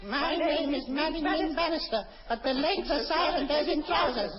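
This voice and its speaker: A woman's voice: high-pitched, normal speed, a loud crowd, lightly acted. A woman playing a cheeky, brassy lady in an old radio comedy sketch. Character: cheeky, brassy lady under police questioning. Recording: muffled old radio recording, audience noise.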